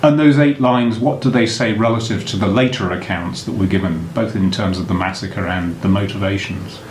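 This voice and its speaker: Low-voiced formal male interviewer. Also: steady tone, normal speed. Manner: formal, articulate questions. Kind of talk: interview questions. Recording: echoey room, faint noise.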